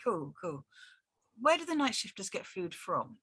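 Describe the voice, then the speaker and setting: A woman plays a bemused, quiet lad in his twenties in a drama reading. The voice is slightly raspy and very animated in pitch, in a dry space. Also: measured pace, no room sound, full character voice. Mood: hesitant, polite. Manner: hesitant, bemused. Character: quiet, fresh-faced young man, hesitant new night auditor. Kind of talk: script table-read acting.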